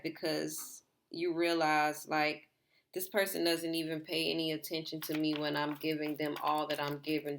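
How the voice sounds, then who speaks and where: A slightly hoarse woman talks her viewers through a tarot spread in a loose, rambling way, saying "like" again and again. Her voice sits low and carries a gravelly fry. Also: a bit bright. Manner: rambling, repeats herself, filler "like". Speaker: tarot reader. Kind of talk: casual tarot reading to viewers.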